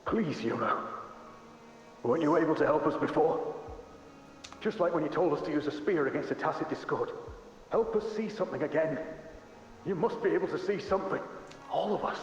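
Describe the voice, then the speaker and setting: Man acting as bitter doomed soldier, highish voice, urgent, accusing, game drama, huge echo in a hall. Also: a little fast, long pauses, close to an everyday voice. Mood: desperate pleading. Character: bitter desperate soldier facing doom, pleading with a seer. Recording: very echoey hall, muffled, distant.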